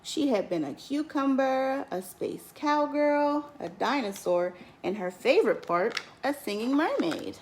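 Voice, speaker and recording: A woman teacher reads a children's picture book aloud, lifting her voice on key words in a lilting, expressive way. There is a slight gravelly fry in her voice. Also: sits high, unhurried, few pauses, slight background noise. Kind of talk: storybook read-aloud.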